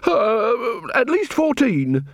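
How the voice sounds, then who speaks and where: A man voicing an indignant, grasping fat tavern keeper in a storybook, loud and complaining. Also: very high voice, wildly swooping pitch, extremely slow, no room sound, clean studio sound, pitched above the performer's own voice, heavily acted.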